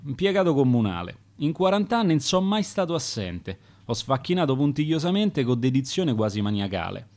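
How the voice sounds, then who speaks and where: A man's voice: mid-range voice, a little mellow, natural voice. A man reading the verse of a dead, hard-working town clerk, in a Roman accent. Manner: reciting dialect verse in rhyme.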